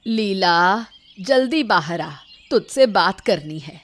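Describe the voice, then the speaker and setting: A woman's voice: medium pitch, expressive, slow pace, no echo. A woman voicing a greedy, bossy, scheming old village woman in a cartoon moral story. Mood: demanding.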